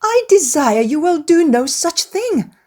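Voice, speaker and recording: A woman voicing a fussy, nagging middle-aged gentlewoman in a dramatised novel, exclamatory and insistent. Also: high-pitched, big pitch swings, a little slow, pitched above the performer's own voice. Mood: indignant.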